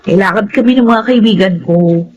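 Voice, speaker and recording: A woman's voice: mid-range voice, normal speed, a little mellow, dull audio, a little hiss or hum, lightly acted. A woman acting a carefree, cheeky young older sister in a Filipino radio drama, with a breezy, teasing, casual delivery. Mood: breezy, affectionate. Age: young adult.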